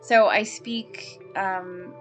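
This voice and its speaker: A woman's voice: medium pitch, fairly even intonation, extremely slow, faint music behind. A middle-aged woman from Canada, a native English speaker, casually thinking aloud as she plays a game and narrates it. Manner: chatty, thinks aloud, coos at baby animals. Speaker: let's play host. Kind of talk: casual gameplay commentary.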